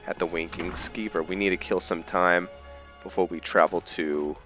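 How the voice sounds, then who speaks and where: A man narrating a roleplay game playthrough in a casual, halting way, stumbling over words, on a dull mic. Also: low-pitched, fairly even intonation, fairly bright tone, soft background music. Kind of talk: casual gameplay commentary mixed with in-character journal reading.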